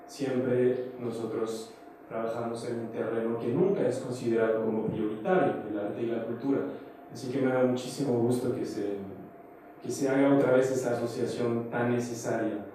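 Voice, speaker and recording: Mellow-voiced man speaking in an echoey hall. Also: mid-range voice, fairly even intonation, normal speed. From outside Mexico. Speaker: theatre company director, invited speaker. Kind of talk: semi-formal unscripted conference talk. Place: large echoey conference room. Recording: very echoey hall, distant mic, faint noise.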